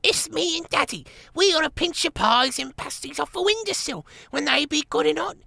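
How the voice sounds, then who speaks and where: A man's voice: very high-pitched, moderate pace, vocal fry, sharp tone, dry recording, clean studio sound, voice pushed up, full character voice. A man voicing a mischievous baby boy mouse, talking in a rustic dialect. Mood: gleeful.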